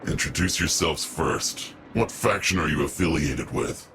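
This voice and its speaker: A man's voice: extremely low pitch, a little slow, noisy background. A man voicing a guarded, businesslike shady agent in a video game, speaking in a curt, formal, wary way.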